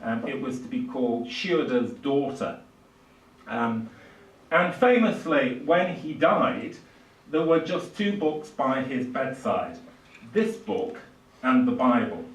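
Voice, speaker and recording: Older male lecturer, halting and thoughtful phrasing, mid-pitched, heard at a distance in a reverberant small room. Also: very animated. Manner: hesitant, halting delivery with frequent pauses. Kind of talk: public lecture, lightly improvised. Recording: echoey room, distant microphone.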